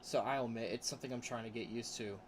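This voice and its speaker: A man's voice: steady tone, quick pace, a little hiss or hum. A man chatting casually on a livestream, rambling with restarts and laughing often between thoughts. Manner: says his voice range is pretty high. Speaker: streamer. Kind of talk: casual livestream chat, reading chat aloud.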